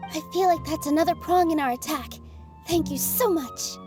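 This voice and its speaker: A gentle, earnest young dancer, voiced by a woman, speaking in a high, soft voice with thoughtful, reassuring warmth. Faint game music hums behind her. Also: normal speed, lightly acted. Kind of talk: video game voice acting.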